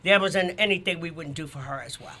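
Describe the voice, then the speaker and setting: A man's voice: fairly high pitch, quick pace, noisy background. A man telling stories casually in a group studio chat, often repeating himself and chiming in with agreement.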